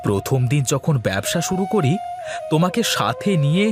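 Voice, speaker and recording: A man's voice: a little high, big pitch swings, normal speed. A man voicing an earnest, reassuring younger brother who works as a village trader, in a cartoon drama. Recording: music behind the voice.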